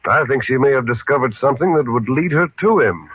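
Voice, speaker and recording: A man playing a steady, protective uncle in an old-time radio drama, with an authoritative, deliberate manner. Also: mid-range voice, lightly acted. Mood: thoughtful, probing. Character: steady, protective uncle leading a desert search. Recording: tinny, noisy old radio recording.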